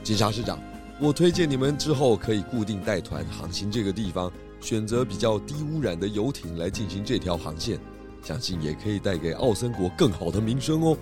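Low-voiced, authoritative detective chief. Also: male voice, sing-song, a little fast, over music. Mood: earnest advice. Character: calm, righteous male detective chief giving verdicts and advice. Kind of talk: children's detective radio drama acting.

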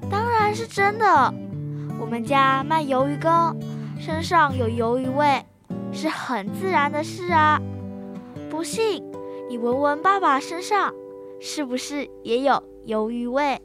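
A woman's voice: sits high, expressive, a little slow, music in the background. A young female student playing an earnest, reassuring schoolgirl daughter in a radio drama. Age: child or teenage student.

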